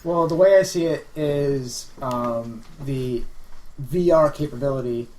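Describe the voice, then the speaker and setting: A man's voice: mid-range voice, measured pace, a little mellow. A man on a podcast discussing tech casually, explaining things in an earnest, enthusiastic way. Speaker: podcast co-host. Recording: noisy room, clicks behind.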